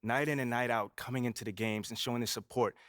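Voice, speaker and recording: A man's voice: mid-range voice, steady tone, fast talker, fairly bright tone, no echo, studio quality, natural voice. An actor playing a confident young male basketball rookie in a game cutscene, laid-back and brash, constantly saying "you know". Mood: composed. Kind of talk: video game cutscene voice acting.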